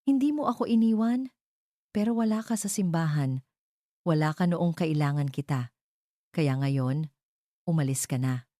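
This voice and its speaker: A woman's voice: deep voice, moderate pace, long pauses, warm tone, dry recording, clean studio sound, close to an everyday voice. A woman voicing a hurt, unforgiving jilted bride in an audio drama, cold, curt and bitter.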